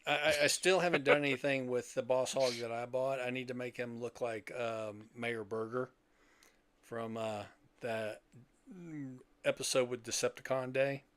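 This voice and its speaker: A man's voice: mid-range voice, steady tone, moderate pace, fairly bright tone, clean tone, dry recording. A middle-aged man chatting casually as a podcast host, rambling and jokey. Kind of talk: casual chat.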